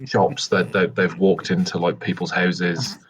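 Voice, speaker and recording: A man's voice: sits low, little pitch movement, unhurried, a bit bright, slight background noise. A man casually improvising what his character does as a player in a tabletop roleplay game. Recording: dull, lo-fi game recording.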